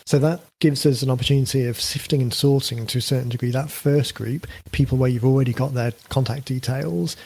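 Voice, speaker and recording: A man hosting a podcast who explains marketing ideas in a relaxed way, thinking aloud with frequent fillers and restarts. His voice is getting a little croaky. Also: medium pitch. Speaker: podcast host, book marketing coach. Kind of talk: casual podcast conversation.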